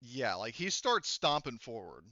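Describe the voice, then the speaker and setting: A man improvising casual tabletop game narration as game master, in a halting way. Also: medium pitch, very animated, bright, forceful tone, no echo, lo-fi sound.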